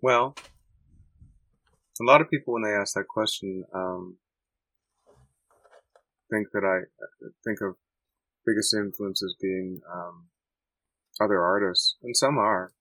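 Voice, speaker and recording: A Canadian man answering interview questions in a thoughtful, halting way with many restarts. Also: on the low side, pushed and bright, no echo, poor audio. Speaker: musician guest.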